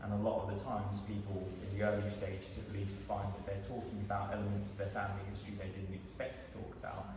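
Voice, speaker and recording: Low, flat-voiced man explaining in a hall. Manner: measured, lecturing. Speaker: psychotherapist panelist. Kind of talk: explanatory panel talk. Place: large gallery room. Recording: echoey gallery hall from far off, noisy.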